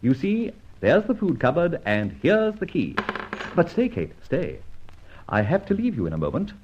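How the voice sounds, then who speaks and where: An actor playing a witty, roguish gentleman vigilante in an old-time radio detective drama, with a theatrical, urbane, teasing manner. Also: on the high side, huge pitch swings, normal speed. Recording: muffled, noisy old radio recording.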